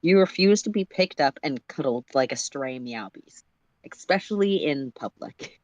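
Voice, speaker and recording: A person reading a story aloud on a livestream in an animated way, sometimes stumbling and slipping into casual asides. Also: mid-range voice, normal speed, dry recording, lo-fi sound.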